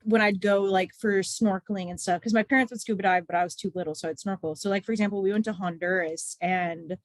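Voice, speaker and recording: A woman in her twenties from Texas, a nurse and patient advocate calling in to a podcast interview, who talks in a flat, monotone way with lots of "like" and "um". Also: mid-range voice, fast, no echo. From Texas, USA. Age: 20s. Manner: self-described monotone, flat delivery; loose, chatty, many "like" and "um" fillers. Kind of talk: casual interview chat. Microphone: remote call-in.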